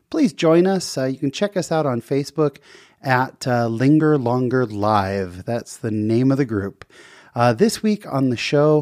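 A man's voice: medium pitch, a little mellow, no room sound. A man hosting a podcast interview in a small studio, upbeat and enthusiastic. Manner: upbeat, enthusiastic, with frequent uh fillers. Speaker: podcast host.

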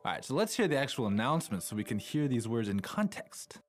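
A man's voice: mid-range voice, very animated, quick pace, loud music behind the voice. A man co-hosting a language lesson in a conversational style, asking questions and recapping in simple steps.

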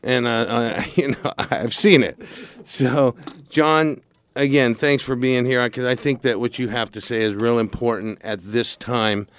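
A man from California hosting a radio talk show, chatting casually and pausing often with fillers and restarts. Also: medium pitch, steady tone, clear, resonant tone. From Santa Barbara, California. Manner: halting, with many fillers and restarts.

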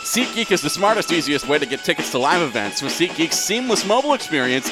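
A man's voice: fairly high pitch, big pitch swings, quick pace, music in the background. A man co-hosting a podcast, moving between casual chat and sponsor reading. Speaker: podcast co-host.

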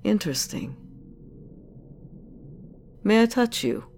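A woman's voice: medium pitch, sing-song, slow, creaky, a little mellow, a little hiss or hum, exaggerated voice. A woman playing a commanding, curious corporate director in an audio drama, with a teasing, probing and self-assured manner. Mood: intrigued. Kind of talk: scripted audio drama acting.

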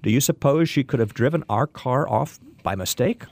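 A man voicing a patient, earnest middle-aged father with a gravelly voice. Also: medium pitch, expressive, normal speed, a little mellow. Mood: puzzled.

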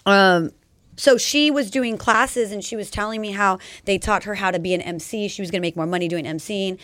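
A woman's voice: medium pitch, fairly quick, fairly bright tone, no echo. A woman from Los Angeles, a DJ guest on a podcast, chatting in a casual, blunt way, swearing freely and saying "like" often. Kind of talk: casual interview chat.

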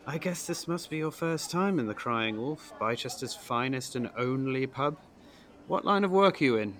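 A man acts out a pompous local businessman in an audio drama. His voice is bright and forceful, at a middling pitch. He comes across as boastful, sociable and very pleased with his standing. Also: expressive, normal speed, close to an everyday voice. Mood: self-important. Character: self-important male village businessman, boastful and sociable.

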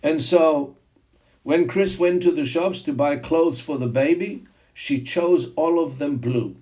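An older man whose first language is Greek, preaching a sermon and telling personal testimony in a slow, emphatic way. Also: a little high, darkish tone, muffled recording. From Zimbabwe.

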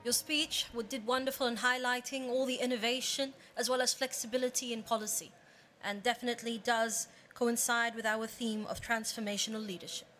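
A woman's voice: fairly high pitch, steady tone, brisk, fairly bright tone, a little hiss or hum. A woman hosting a summit as emcee, formally introducing speakers and panelists. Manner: formal, ceremonial. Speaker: event emcee. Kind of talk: formal stage announcements. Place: large conference hall.

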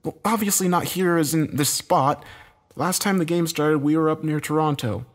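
A man's voice: fairly high pitch, moderate pace, pitched above the performer's own voice, close to an everyday voice. A man voicing a desperate, bitter man in a dramatic story, agitated, urgent and given to swearing. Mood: explaining, frustrated. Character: Josh, desperate bitter man, cornered game loser.

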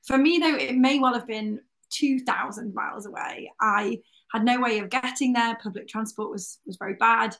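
A woman's voice: fairly high pitch, normal speed, fairly bright tone. A woman from North Wales, an adventurer and broadcaster, giving a friendly personal talk about growing up.

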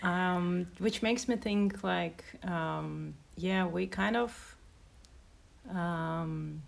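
A woman poet and translator from Kazakhstan, speaking in a measured, hesitant way as she talks and reads. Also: lowish voice, vocal fry, a little hiss or hum. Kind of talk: casual conversation and poetry reading.

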